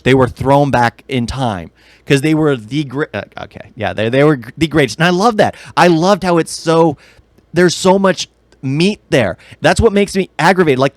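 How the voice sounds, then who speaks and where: A man's voice: on the high side, no room sound. A man co-hosting a podcast in casual chat, rambling with enthusiasm and strong opinions. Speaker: podcast co-host. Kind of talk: casual chat about video games and film.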